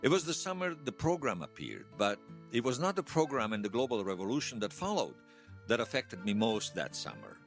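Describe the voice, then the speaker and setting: Wistful, wry old widower, swooping intonation. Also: male voice, mid-range voice, sing-song, vocal fry, faint music behind. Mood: nostalgic, wry. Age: 70s. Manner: halting, reflective, self-deprecating. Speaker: interview guest. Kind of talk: radio drama interview, reminiscing storytelling.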